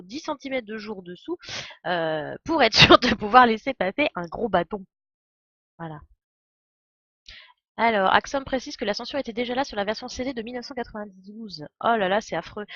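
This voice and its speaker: A woman's voice: a little high, a bit bright, dry recording. A young woman giving casual live game commentary as a streamer, sardonic and slangy. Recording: slightly dull, dry microphone sound.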